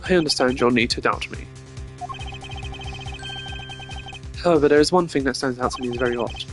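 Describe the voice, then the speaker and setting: A man voicing a composed, formal prosecutor from a courtroom video game, speaking in a measured, declamatory way. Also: mid-range voice, over music, close to an everyday voice. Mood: certain, reasoning.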